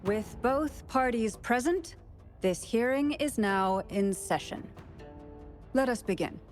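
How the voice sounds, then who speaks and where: An actress playing a composed female judge in a game, speaking formally and measured, with faint music underneath. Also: on the high side, lively intonation. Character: composed female judge presiding over a hearing. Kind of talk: game voice acting.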